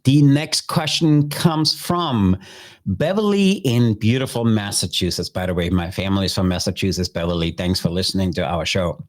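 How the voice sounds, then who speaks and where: A man's voice: low voice, moderate pace. A middle-aged man from Austria with an Austrian German accent, a chef hosting a podcast and answering listener questions in an earnest way. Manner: earnest, repeats phrases, non-native grammar.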